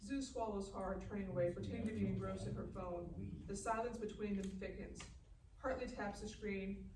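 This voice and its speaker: A woman narrates screenplay stage directions in a medium-pitched voice. It is a live table read, recorded from a distance in an echoey room. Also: fairly even intonation, normal speed. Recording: echoey, distant room mic with clear noise.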